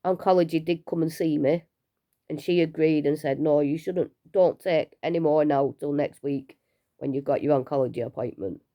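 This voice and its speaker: A woman's voice: low-pitched, normal speed, dark tone. Tired chatty woman. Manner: chatty, rambling, self-deprecating with small laughs. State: unwell, low energy. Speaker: vlogger sharing her cancer treatment. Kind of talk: casual personal vlog. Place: at home.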